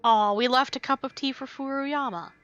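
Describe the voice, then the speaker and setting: Bright-voiced woman streaming a game. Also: high-pitched, moderate pace, muffled recording. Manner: casual, muttering to herself. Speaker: game livestreamer. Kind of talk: live gameplay commentary, reading dialogue choices aloud. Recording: faint game music behind the voice. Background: game music.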